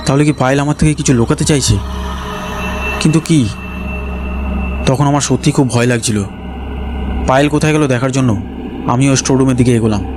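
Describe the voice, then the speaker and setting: A man's voice: mid-range voice, brisk, pauses often. A man narrating a scripted first-person horror story and acting out its dialogue. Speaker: narrator and lead character. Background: loud background music.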